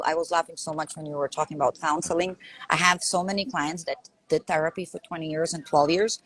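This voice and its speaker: Husky Lithuanian-accented woman coach. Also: lowish voice, poor audio. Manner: earnest, emphatic, repeats herself and asks "right?". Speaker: coach and podcast host. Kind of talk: conversational podcast chat.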